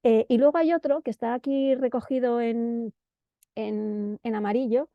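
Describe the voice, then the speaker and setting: A woman's voice: a little high, steady tone, normal speed, no room sound. A woman with a central Spanish accent giving an informal presentation, often hesitating and repeating words as she searches for the next one. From Madrid, Spain. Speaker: presenter of an urban planning guide. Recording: dull, lo-fi dry audio.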